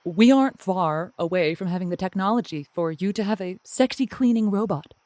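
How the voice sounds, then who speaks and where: A woman's voice: medium pitch, expressive, a little fast, darkish tone, dry recording, close to an everyday voice. A woman playing a cheeky, cooing lonely-hearts radio hostess in a comedy radio drama. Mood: brisk, advising. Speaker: radio advice show host. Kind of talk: radio show hosting, scripted comedy.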